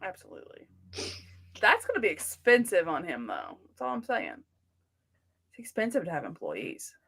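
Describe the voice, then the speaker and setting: A woman in a casual book discussion as a co-host, talking informally with slangy phrasing and softened opinions. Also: on the low side, wildly swooping pitch, moderate pace, vocal fry, slightly rough.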